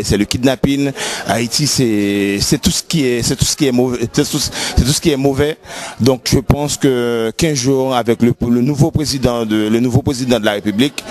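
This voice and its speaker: Halting man repeating his words. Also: medium pitch, a little mellow. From Haiti. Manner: halting, repeats himself often. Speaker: interviewee. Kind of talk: street interview.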